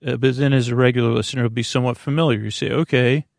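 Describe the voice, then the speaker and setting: A man hosting a bedtime podcast in a rambling monologue, halting and interrupting himself, with sentences that wander and trail off, in a gravelly voice. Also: mid-range voice, fairly even intonation, no room sound, studio quality. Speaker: podcast host.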